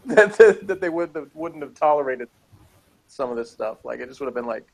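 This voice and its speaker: A man's voice: medium pitch, animated, normal speed, gravelly, low-quality recording. A man chatting casually as a reviewer, giving his opinions on an episode in a rambling way.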